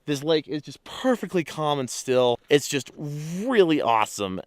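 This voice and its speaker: A man photographing a calm mountain lake at dawn, explaining his camera settings in a relaxed way with many fillers while sounding congested from a cold. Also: fairly high pitch, measured pace, no room sound, studio quality. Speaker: landscape photographer. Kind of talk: casual on-location vlog.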